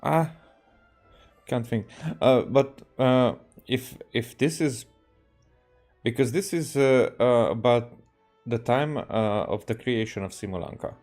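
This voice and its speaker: Slow, halting man commenting on a game. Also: medium pitch, a little mellow. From Hungary. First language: Hungarian. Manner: hesitant, halting, thinking aloud. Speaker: game streamer. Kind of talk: casual gameplay commentary. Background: game music.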